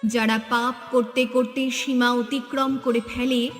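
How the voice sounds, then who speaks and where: A woman's voice: a little high, flat delivery, long reverb tail, faint music behind, natural voice. Woman voicing a stern, solemn divine spirit. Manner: slow, grave, pronouncing. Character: solemn divine voice of magic cake, stern judge. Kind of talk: cartoon voice acting.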